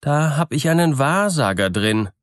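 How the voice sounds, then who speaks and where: A man voicing a sly, smooth-talking peasant trickster in a fairy tale, measured, knowing and teasing. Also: a little high, lively intonation, no room sound, clean studio sound, voice pushed up, natural voice.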